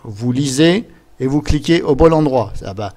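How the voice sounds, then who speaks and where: Man, mid-pitched voice, chatty, informal, step-by-step software tutorial. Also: expressive, moderate pace. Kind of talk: casual screen-capture software tutorial. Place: voice-over recorded at a computer during screen capture.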